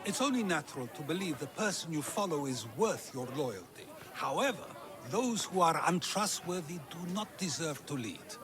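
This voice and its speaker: An actor playing a wise, wry ancient philosopher in a video game, with a measured, questioning, rhetorical delivery. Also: male voice, a little high, very animated, crowd noise. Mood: probing, rhetorical. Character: Socrates, wise wry ancient Greek philosopher, persuasive orator. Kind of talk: theatrical video game dialogue. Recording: music behind the voice.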